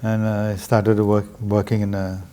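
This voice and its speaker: A middle-aged man from Singapore, a guest talking slowly and earnestly about struggle and faith. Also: on the low side, flat delivery, dark tone. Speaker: interview guest, businessman. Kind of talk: reflective spiritual conversation.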